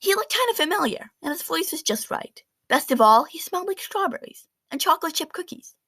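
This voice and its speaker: A woman reading a children's picture book aloud in an expressive, animated storytelling manner with dramatic emphasis. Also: extremely high pitch, a little fast, no room sound, clean studio sound.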